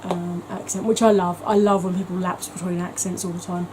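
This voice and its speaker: A woman's voice: fairly low pitch, flat delivery, fairly quick, mellow, slight background noise, poor audio. A woman talking in a chatty, gushing way as a beauty vlogger, with frequent ums. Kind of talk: casual vlog chat.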